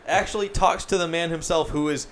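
A man's voice: a little high, steady tone, fairly quick, a bit bright. A man hosting a podcast in casual chat, rambling, swearing freely, with many fillers. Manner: profane, rambling, filler-heavy. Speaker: podcast host.

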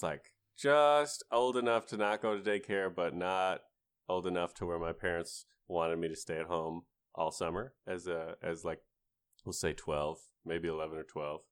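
A man with a deep, low voice chats as a podcast co-host. He spins casual stories about gaming and slips into playful, grandiose asides, swearing freely. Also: clear, resonant tone.

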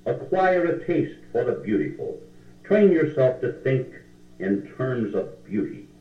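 A man's voice: mid-range voice, big pitch swings, unhurried, recorded from a distance. A man narrating a scripted self-help tape in an emphatic, declamatory manner. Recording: tinny, echoey vintage cassette tape.

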